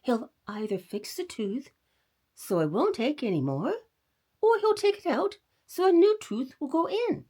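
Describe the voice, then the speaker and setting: A woman's voice: high-pitched, very animated, unhurried, mellow, husky, pitched above the performer's own voice, full character voice. A woman voicing a patient storybook mother who reasons with her young boy in a reassuring, persuasive way.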